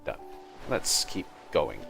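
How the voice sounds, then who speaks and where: A man livestreaming a game with casual commentary, thinking aloud and muttering to himself, sometimes reading on-screen text. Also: slow pace. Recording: game music behind the voice. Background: soft video game music.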